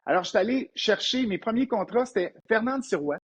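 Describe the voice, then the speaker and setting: A man in his fifties with a Québécois French accent and a husky, slightly raspy voice set a bit high. He talks as a guest in a relaxed interview and tells animated business anecdotes, often restarting his phrases. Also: a little fast, highs cut off. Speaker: entrepreneur guest. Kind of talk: storytelling in a casual interview.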